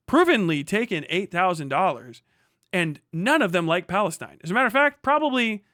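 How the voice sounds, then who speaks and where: A man's voice: high voice, pushed and bright, no room sound, studio quality. A man doing heated live reaction commentary as a stream host, profane, combative and mocking. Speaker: livestream host reacting to a clip.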